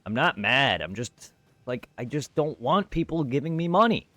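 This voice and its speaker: A man's voice: medium pitch, lively intonation, moderate pace, no room sound. A man talking off the cuff to his chat on a livestream, blunt and repetitive, swearing at times. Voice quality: normally very quiet voice. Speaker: live streamer. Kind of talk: unscripted livestream talk to chat.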